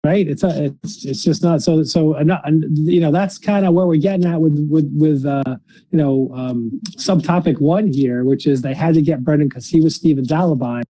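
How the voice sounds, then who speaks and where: A man hosting a podcast in unscripted case discussion, speaking haltingly with frequently repeated and restarted phrases. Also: on the high side, warm tone, no room sound, low-quality recording. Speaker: podcast host.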